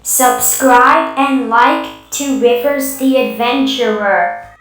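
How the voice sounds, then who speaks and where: A woman's voice: high voice, slow, reverberant, loud music behind the voice, rough recording. A woman reciting a promotional line through novelty voice-changer filters. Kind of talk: repeated channel promo line.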